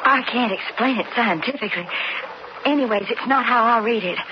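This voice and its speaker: An actress playing a devoted, tender frontier woman with a gentle, earnest manner in a radio drama. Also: fairly high pitch, brisk, natural voice. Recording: muffled, hissy old radio recording.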